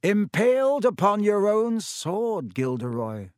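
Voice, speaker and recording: Guy doing a gentle old wizard headmaster, lilting and lively, dry close sound. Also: high-pitched, no room sound, pitched above the performer's own voice, exaggerated voice. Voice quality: gentle, measured, twinkling. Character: old male wizard headmaster, kindly and wise.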